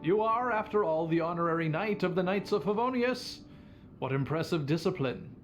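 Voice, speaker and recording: Polite young anime game bartender, high lively voice, bit nervous, music under it. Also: male voice, normal speed. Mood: polite, eager. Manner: courteous, hesitant, accommodating.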